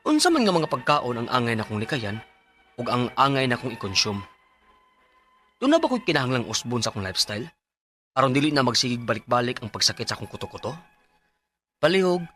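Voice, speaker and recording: An actor with a Cebuano accent playing an anxious male patient with high blood pressure in a Bisaya radio drama. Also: sing-song, brisk, long pauses, a bit bright, soft background music. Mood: troubled, pleading. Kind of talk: Bisaya radio drama acting and letter narration.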